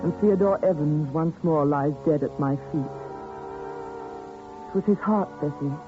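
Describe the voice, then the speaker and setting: A haunted letter writer, old radio. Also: female voice, low voice, a little slow. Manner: haunted, intense, dramatic delivery. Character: shaken woman recalling a horror in a letter. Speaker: lead actress in a radio drama. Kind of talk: 1940s radio acting. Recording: tinny 1940s radio broadcast with music.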